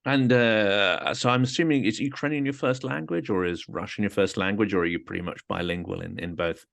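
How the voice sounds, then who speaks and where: A man hosting an interview in a relaxed, chatty way, often saying "you know". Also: fairly low pitch, brisk, creaky. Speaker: host. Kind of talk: casual interview chat.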